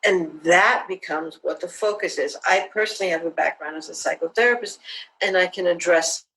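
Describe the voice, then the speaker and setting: A woman and self-defense teacher, speaking as a guest in an online interview in an earnest, measured, motivational way. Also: lowish voice, a bit bright, slight background noise, low-quality recording.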